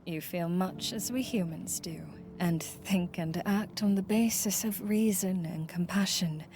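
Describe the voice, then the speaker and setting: A woman playing an earnest, fearful mortal friend in a fantasy game, with dramatic, breathy phrasing and formal, archaic wording. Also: lowish voice, unhurried, darkish tone, slight background noise. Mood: fond, tender. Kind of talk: dramatic visual novel voice acting. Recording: music playing behind the voice.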